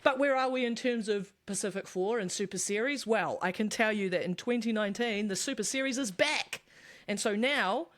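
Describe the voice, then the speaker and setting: A woman with a New Zealand accent co-hosting a casual podcast chat, chatty and quick to laugh as she tells anecdotes. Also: medium pitch. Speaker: podcast co-host.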